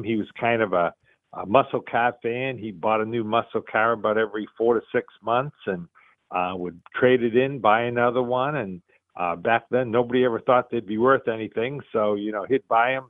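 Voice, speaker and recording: A middle-aged man with a Boston accent, a guest telling stories in a relaxed, rambling way with many fillers. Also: mid-range voice, no room sound. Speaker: guest, car museum founder. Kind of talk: casual interview storytelling. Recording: tinny phone line.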